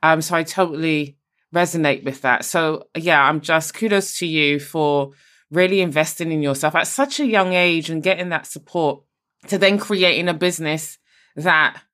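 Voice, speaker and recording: A woman's voice: deep voice, normal speed, a bit bright. A woman from Barbados hosting a podcast, encouraging and enthusiastic toward her guest. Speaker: podcast host. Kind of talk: casual interview.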